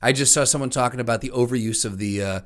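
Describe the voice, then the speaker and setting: A man co-hosting a podcast in casual movie chat, venting with profanity and exasperation, often repeating himself for emphasis in a halting way. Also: mid-range voice, fairly bright tone. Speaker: podcast co-host.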